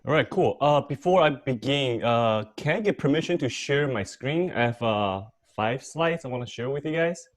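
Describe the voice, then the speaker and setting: A young man who grew up between Taiwan and the United States, speaking as a guest presenter on a video call in a relaxed, filler-heavy storytelling way. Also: medium pitch, normal speed, hardly any pauses.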